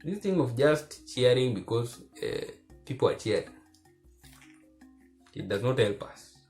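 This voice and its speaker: A man giving earnest, preachy commentary to the camera, keeping his voice low because he is recording at home at night. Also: lively intonation, measured pace, a little mellow, faint music behind. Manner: earnest and preachy, repeats phrases for emphasis. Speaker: vlogger.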